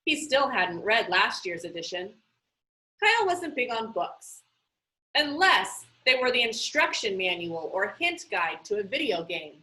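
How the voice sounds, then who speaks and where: A woman reading a children's book aloud in an expressive storytelling style, animated and emphatic. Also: fairly high pitch, rough recording.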